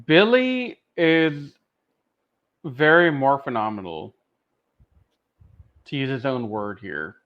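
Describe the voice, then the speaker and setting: A man on a slightly dull, lo-fi microphone talks as a podcast co-host. His mid-pitched voice lays out each point deliberately, with emphasis on key words, in relaxed fan-review conversation. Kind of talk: casual fan review discussion.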